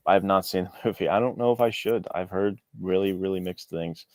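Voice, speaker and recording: A man speaking as a guest author in a casual podcast conversation, with an informal, halting way of talking. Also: deep voice, no room sound. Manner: informal, halting, peppered with "like" and "you know".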